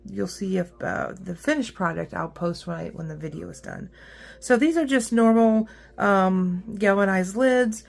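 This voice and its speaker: A woman with a rough, hoarse voice hosting a casual craft how-to, chatty and upbeat with frequent ums and uhs as she works at her craft table. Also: mid-range voice. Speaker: craft tutorial host.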